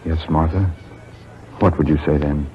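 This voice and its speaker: An actor playing a solemn, idealistic young colonial gentleman with a halting, earnest delivery in a radio drama. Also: very deep voice, steady tone, faint music behind. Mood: tender, earnest. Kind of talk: 1940s radio acting. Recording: dull, faintly noisy 1940s radio recording.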